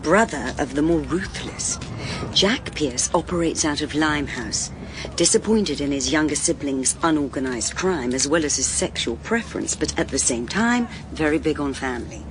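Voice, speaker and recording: Low, lively British lady detective. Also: normal speed, background noise. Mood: brisk. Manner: pointed, questioning. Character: brisk, direct, inquisitive female private detective. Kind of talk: radio drama acting.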